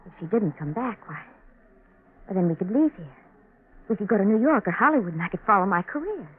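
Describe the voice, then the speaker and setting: A bitter, ambitious young schemer, voiced by an actress, cutting and impatient with a swooping delivery. It is 1940s radio drama with a thin, tinny sound. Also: female voice, medium pitch, lively intonation, fast talker, a little hiss or hum, natural voice. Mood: bitter, scheming. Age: young adult.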